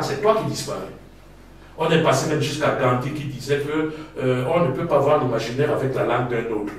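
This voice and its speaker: A man arguing emphatically as a guest in a debate, citing quotations with passion. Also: mid-range voice. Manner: emphatic, impassioned, quoting others. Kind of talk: debate discussion. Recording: echoey room from far off.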